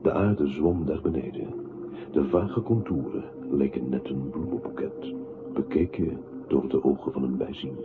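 A man acting a grave, brooding inner voice in a literary radio play, speaking in a measured, solemn way. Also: a bass voice. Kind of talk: literary radio play narration in second person. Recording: tinny band-limited radio drama with music.

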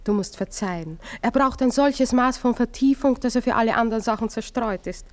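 A poised young wife, played by an actress, genteel and earnest. She speaks in a somewhat high voice, in the manner of a classic radio play. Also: a little fast, a little mellow, natural voice. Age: young adult.

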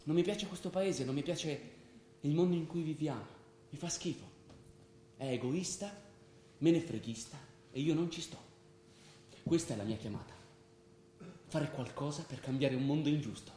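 A man's voice: on the high side, normal speed, pauses often, warm tone, natural voice. A man acting the part of a restless, idealistic, rebellious young man in a theatrical radio drama. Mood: earnest, intense. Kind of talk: theatrical radio drama, dialogue and first-person narration. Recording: roomy stage sound with faint noise.

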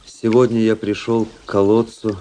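An actor playing a cynical, world-weary young aristocratic officer in a radio play, narrating in a measured, ironic literary manner. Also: male voice, fairly low pitch, flat delivery, mellow, slight background noise, close to an everyday voice. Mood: pensive, melancholy. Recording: radio play with faint music behind.

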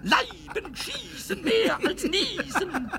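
A man playing a cheeky, excitable little kobold in a children's radio play, squeaky and creaky, stammering over repeated words and fond of rhyme. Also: slow, over a noisy crowd, full character voice. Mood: gleeful, teasing. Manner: stammering repetitions, rhyming, excitable. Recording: faintly noisy 1970s radio recording, rumble.

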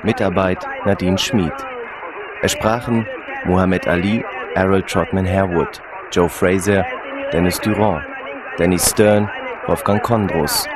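A man's voice: low voice, steady tone, unhurried, crowd noise. A man reading scripted closing credits as a radio announcer.

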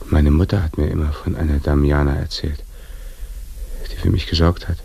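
An actor playing an uneasy traveller in a radio drama, subdued and questioning, with a husky, gravelly voice. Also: male voice, extremely low pitch, level tone, normal speed, dark tone, exaggerated voice.

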